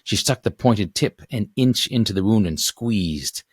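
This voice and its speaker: A man's voice: on the low side, expressive, unhurried, breathy edge, no echo, clean studio sound. A man reading a children's adventure story aloud as its author, in scripted narration.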